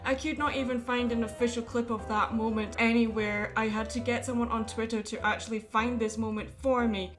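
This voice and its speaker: A high-pitched woman delivering opinion commentary as a video host. She speaks in a measured, opinionated way, with music playing clearly behind her. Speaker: YouTube host giving commentary. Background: background music.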